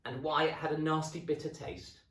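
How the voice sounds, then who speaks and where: A man voicing a nasty old hag wife, shrill and cackling, in a roomy recording. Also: fairly even intonation, fairly quick, a bit bright, close to an everyday voice. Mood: gloating. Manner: shrill and screeching. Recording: roomy echo, distant mic.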